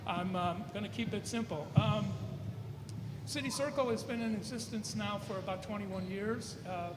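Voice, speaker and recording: A man speaking as a theater music director, making an informal public announcement in a halting, rambling way. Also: sits high. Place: large indoor room with a seated audience. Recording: echoey reverberant room, clear background noise.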